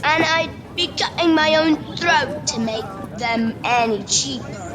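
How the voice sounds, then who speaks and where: An amateur actress with a Scottish accent playing a cheeky, daydreaming schoolboy in a radio play, eager and boastful. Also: female voice, high-pitched, measured pace, a crowd in the background. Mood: dreamy, earnest. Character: cheeky, daydreaming schoolboy, butcher's son under sixteen. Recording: noisy remote home recording.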